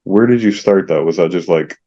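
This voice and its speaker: Deep-voiced male podcast host. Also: a little fast, mellow. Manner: friendly, affirming, many fillers. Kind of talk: interview hosting. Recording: slightly dull lo-fi audio.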